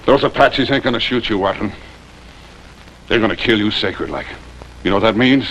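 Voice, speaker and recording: A man plays a tough captive rebel in a vintage western drama. His low voice is blunt and scornful, needling with grim menace. A light hiss sits on the old TV soundtrack. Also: a bit bright, muffled recording, lightly acted. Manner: blunt, taunting, drawn-out menace. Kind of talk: 1950s TV western acting.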